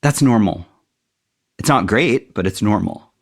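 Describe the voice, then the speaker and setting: Interview guest: man, middle-aged, low voice with gravelly fry, reflective and candid. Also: lively intonation, measured pace. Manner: thoughtful, candid, self-deprecating.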